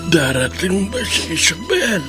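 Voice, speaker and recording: A man's voice: high-pitched, big pitch swings. A man playing a frail, dying great-grandfather in a radio drama, speaking weakly and with great effort. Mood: weak longing. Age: elderly. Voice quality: creaky, weak. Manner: slow, labored, drawn-out. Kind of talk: Filipino radio drama acting. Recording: loud music bed under voice.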